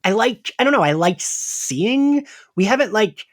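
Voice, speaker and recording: A man's voice: sits high, measured pace. A male podcast co-host in easy, casual chat. His voice swoops up and down with animation, and he laughs constantly between his points. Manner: animated, laughs often, many fillers.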